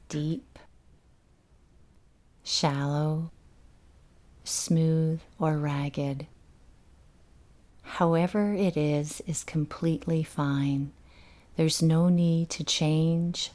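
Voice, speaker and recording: A woman leading a guided meditation in a gentle, unhurried, soothing manner, leaving long pauses between instructions. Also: low voice.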